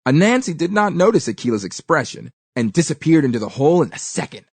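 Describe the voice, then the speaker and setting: A woman narrates a scripted children's story. Her delivery is highly expressive, with lively swings of pitch and deliberate emphasis on key words. The sound is dry, with little room echo. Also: slightly rough. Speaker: read-aloud storyteller.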